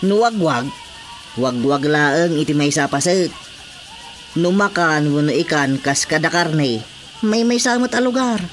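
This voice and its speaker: Village councilor, Ilocano accent, earnest, hesitant, radio drama, background noise. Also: male voice, fairly high pitch, animated, unhurried, a bit bright. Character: earnest village councilor on market inspection rounds.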